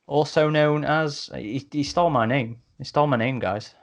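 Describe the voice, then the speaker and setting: A man hosting a casual podcast chat, with a halting, rambling delivery. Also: on the high side. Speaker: podcast host.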